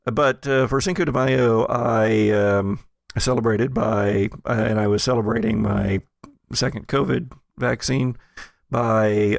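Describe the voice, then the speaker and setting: A man's voice: medium pitch, vocal fry, no room sound. An American man hosting casual banter among friends with dry, joking understatement. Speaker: podcast host.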